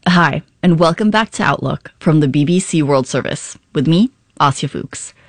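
A radio presenter introduces a programme from a script. The voice is medium in pitch and very dry, recorded in a studio. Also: normal speed, no room sound.

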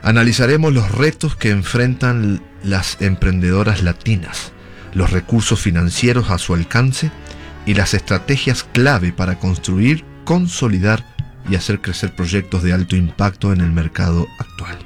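Low-voiced formal radio host man. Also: animated, faint music behind. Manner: measured, formal announcer delivery. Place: radio studio.

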